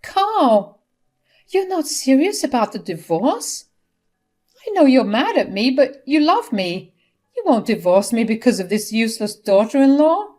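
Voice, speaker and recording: A woman's voice: mid-range voice, normal speed, hardly any pauses, faint music behind, close to an everyday voice. A woman voicing a manipulative, desperate older mother who pleads and protests dramatically in a story. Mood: shocked protest.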